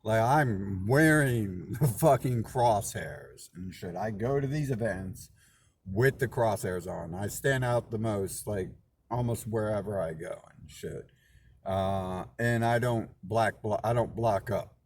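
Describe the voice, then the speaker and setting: A man's voice: lowish voice, takes it slowly, a little mellow, slight background noise. A man hosting his own channel, talking in a loose rambling monologue, swearing often, restarting and repeating words, indignant and suspicious. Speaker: channel host.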